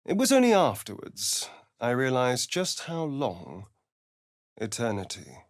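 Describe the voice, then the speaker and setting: A sly vain vampire rogue from a video game, arch drawl, swoopy pitch, really dry close recording. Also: male voice, huge pitch swings, unhurried, no echo. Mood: reflective narrating. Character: sly, theatrical male vampire spawn rogue, vain and sardonic. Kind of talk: video game character voice lines.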